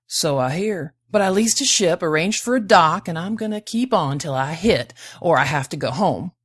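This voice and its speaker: A woman voicing a thin, rough male space drifter in an audiobook, polite and folksy, with a put-on lilting accent. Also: fairly low pitch, lively intonation, moderate pace, dry recording, clean studio sound. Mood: earnest. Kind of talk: audiobook character voice.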